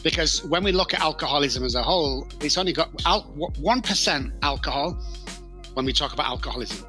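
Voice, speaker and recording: Manchester guy on a podcast, bright voice, emphatic, quick little back-and-forth quotes. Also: on the high side, expressive, soft background music. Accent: Manchester English. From England. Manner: emphatic storyteller, acts out quick dialogue. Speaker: guest, addiction recovery specialist. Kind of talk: podcast interview.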